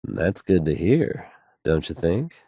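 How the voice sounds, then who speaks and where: A man's voice: deep voice, very animated, slow, mellow, breathy edge, no room sound, clean studio sound, heavily acted. A man playing an assured, folksy radio DJ in a video game, delivering news bulletins to listeners with fluent, polished ease. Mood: reassuring.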